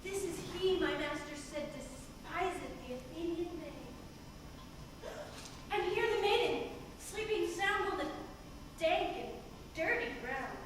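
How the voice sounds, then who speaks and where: A woman playing a sly, mischievous sprite servant, speaking verse in a hushed, measured way on a stage, recorded from far off in an echoey hall. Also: extremely high pitch, animated, noisy background. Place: theatre stage.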